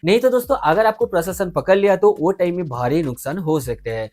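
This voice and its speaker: A man's voice: sits high, a little fast, a little mellow. A man hosting an informal product review, explaining things directly to viewers. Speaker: video host.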